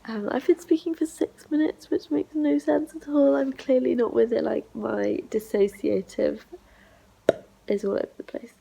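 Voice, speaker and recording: Young woman, congested and unwell, home diary vlog; slightly high voice with heavy vocal fry. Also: steady tone, normal speed, warm tone, low-quality recording. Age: young adult. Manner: rambling, half-whispered, low-energy talk. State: sick, congested and hoarse. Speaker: vlogger. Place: flat, indoors.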